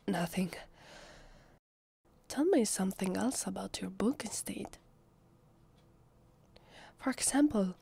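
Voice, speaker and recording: A woman with an Italian accent playing a shy, lonely vampire posing as a human in an ASMR roleplay, speaking softly and hesitantly in a breathy half-whisper. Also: medium pitch, exaggerated voice. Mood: flustered, apologetic. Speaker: voice actress. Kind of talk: ASMR roleplay, soft intimate speech to the listener.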